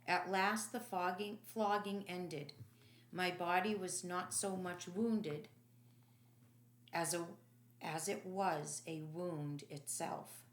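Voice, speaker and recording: A woman reading dramatic first-person audiobook narration in a solemn, deliberate, emphatic way. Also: fairly low pitch, fairly even intonation. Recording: echoey room.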